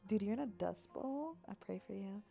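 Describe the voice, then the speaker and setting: A young adult woman chatting casually on a livestream in a playful, silly, expressive manner, reacting to her viewers. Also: medium pitch, normal speed, creaky, faint music behind. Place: apartment.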